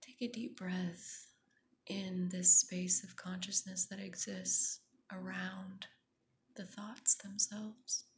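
Slow, whispery woman guiding meditation. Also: medium pitch, level tone. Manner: soft, breathy near-whisper, soothing and gentle. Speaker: meditation guide, podcast host. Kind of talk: guided meditation.

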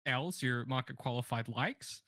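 Bright, dry-voiced rambling man. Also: medium pitch, unhurried, no echo. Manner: rambling, self-deprecating, full of fillers and restarts. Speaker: podcast co-host. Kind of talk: casual comedic chat.

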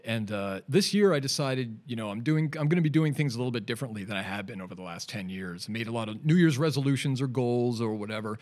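A man's voice: mid-range voice, fast, hardly any pauses, a little mellow. A man in his forties with a Canadian accent, chatting loosely as a podcast guest in a studio, with lots of fillers and restarts. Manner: rambling, laid-back, many fillers and restarts. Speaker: podcast guest, digital artist. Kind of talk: casual interview chat.

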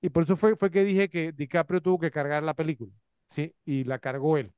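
Male podcast co-host, high clear voice, rambling and filler-heavy. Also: steady tone, brisk, a little mellow, no room sound. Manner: filler-heavy, rambling with frequent tag questions. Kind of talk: casual movie review chat.

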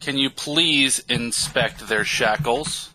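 A man's voice: mid-range voice, takes it slowly, sharp tone, a little hiss or hum, low-quality recording. A man voicing a stiff, formal army colonel in a tabletop game, who speaks of himself as "this one.". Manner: stiff formality, refers to self in third person.

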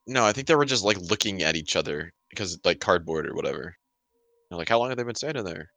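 Male co-host with a very animated delivery and close, dry sound, rambling through casual opinions. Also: low voice, fast talker, no room sound. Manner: rambling, heavy use of "like". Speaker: podcast co-host. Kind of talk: casual panel chat, opinionated.

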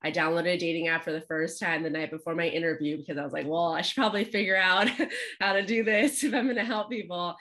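Low-pitched woman from Los Angeles, chatty and upbeat guest coach. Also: fast talker, sharp tone. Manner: chatty, upbeat, frequent fillers. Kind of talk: podcast interview.

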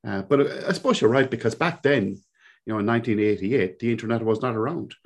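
A man's voice: medium pitch, fast. A man around sixty with a Cork Irish accent, a podcast guest who talks in a reflective, rambling way full of fillers. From Ireland. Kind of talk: conversational interview.

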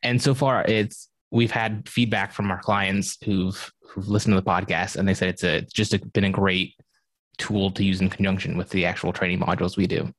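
Deep-voiced man giving practical tips. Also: moderate pace, no echo. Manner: relaxed, explanatory, gives practical tips. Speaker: podcast producer guest. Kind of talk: casual panel chat.

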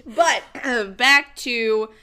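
A woman's voice: a little high, extremely slow, pushed and bright, clear, resonant tone. A young woman co-hosting a podcast, chatting frankly and giggling, sounding stuffy and sniffly from a cold. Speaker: podcast co-host. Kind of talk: casual chat.

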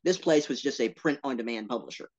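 A man talking as a podcast guest about his work, earnest and animated, with playful asides. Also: medium pitch, quick pace. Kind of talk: casual interview talk.

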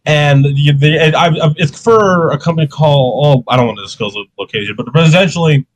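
A man's voice: on the high side, brisk, low-quality recording. A young man who is sick and coughing, hosting a casual podcast chat in a rambling, profane way. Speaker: podcast host.

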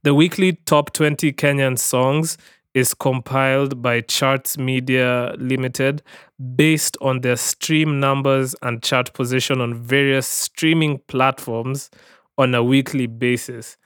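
A man's voice: mid-range voice, fairly even intonation, slow, a bit bright, clean tone, dry recording, studio quality. A young man co-hosting a podcast in casual chat, asking questions and steering the talk back on track. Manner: inquisitive, steers the talk, reads out chart details. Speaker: podcast co-host.

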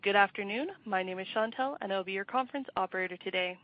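A woman's voice: medium pitch, quick pace, heavy background noise. Female operator on dull phone audio. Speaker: conference call operator. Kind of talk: scripted announcement. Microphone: telephone conference line. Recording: dull, dry telephone-line audio.

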